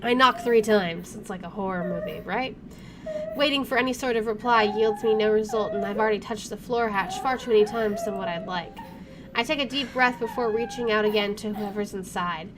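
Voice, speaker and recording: A woman's voice: medium pitch, fairly quick. A woman game streamer reading visual novel narration aloud, with her own asides. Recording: clearly noisy home recording.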